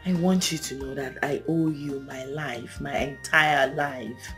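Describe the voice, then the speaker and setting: An actress playing a young bride-to-be who speaks earnestly and tenderly to a devoted friend, sometimes in a whisper. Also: female voice, a bass voice, fairly even intonation, measured pace, over music. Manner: earnest, solemn, partly whispered.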